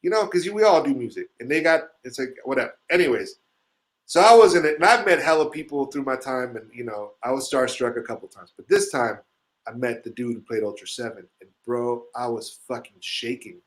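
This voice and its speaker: A middle-aged man from Chile telling rambling, profanity-laced stories in a casual chat, animated, with frequent asides. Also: mid-range voice, fairly quick, low-quality recording. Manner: rambling, swearing, animated storyteller. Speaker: musician telling stories on a show.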